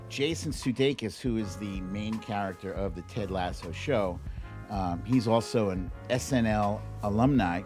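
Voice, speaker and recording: A man's voice: medium pitch, darkish tone. A middle-aged man hosting a livestream, chatting informally and playfully with viewers, stretching words and tossing in asides. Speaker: livestream show host. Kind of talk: casual livestream chat with viewers. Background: background music.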